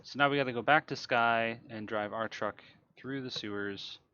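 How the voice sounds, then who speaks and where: A man giving casual, matter-of-fact speedrun commentary, explaining his moves over loud game vehicle noise. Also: medium pitch, moderate pace, clear, resonant tone, dry recording, muffled recording.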